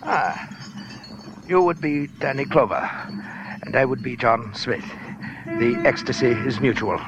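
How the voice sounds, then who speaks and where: A man playing a huge, suave, eccentric gentleman in a 1940s radio drama, speaking in a pompous, cultivated, flowery way. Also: mid-range voice, lively intonation, a little slow. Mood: suave, amused. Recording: dull old radio recording, music behind.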